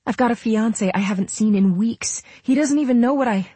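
A woman's voice: on the high side, a little fast, mellow, slightly rough, no echo, voice pushed up. A woman voicing an eager, persistent young woman who talks in an urgent, informal, pleading way, as in an audiobook. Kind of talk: audiobook character dialogue.

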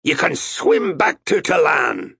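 Man's performance of a commanding male sea captain, audiobook, theatrical, husky, widely swinging pitch. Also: wildly swooping pitch, takes it slowly, a bit bright, no echo, clean studio sound, full character voice. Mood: menacing. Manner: bellowing, emphatic, theatrical. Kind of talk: audiobook character acting.

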